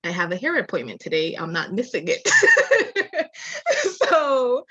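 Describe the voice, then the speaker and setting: Soft-spoken woman on a dull, dry line. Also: medium pitch, wildly swooping pitch, a little slow, husky, no echo. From Jamaica, raised in Massachusetts. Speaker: architecture designer, guest. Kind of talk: casual interview storytelling.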